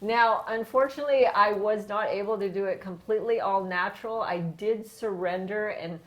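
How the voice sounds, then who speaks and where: A woman's voice: mid-range voice, normal speed, a bit bright. Effusive woman hosting an interview. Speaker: host of a women's wellness show. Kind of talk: conversational interview.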